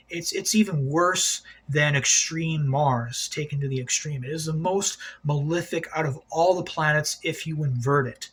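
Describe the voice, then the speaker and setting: A man's voice: a little high, fairly bright tone, slight background noise. A man hosting an occult video, talking in a rambling, agitated monologue, swearing a lot and repeating himself.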